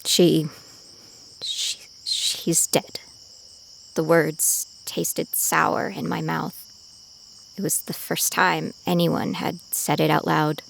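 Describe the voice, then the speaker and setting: A woman's voice: sits low, little pitch movement, slow pace, creaky, slight background noise, full character voice. A woman playing a weary, determined young survivor, narrating her ordeal in a grave, introspective audio drama style. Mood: grieving. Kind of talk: first-person scripted audio drama narration. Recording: loud music and sound effects behind.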